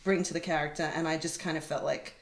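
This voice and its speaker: A woman's voice: low-pitched, steady tone, fast. A woman chatting casually as a producer in a round-table conversation. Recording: echoey room.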